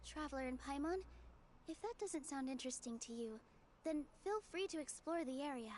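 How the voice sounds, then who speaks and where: A woman voicing a gracious young festival organizer in a game, speaking politely and warmly inviting others. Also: high voice, expressive, brisk, slight background noise. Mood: welcoming. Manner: polite, welcoming. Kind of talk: game dialogue.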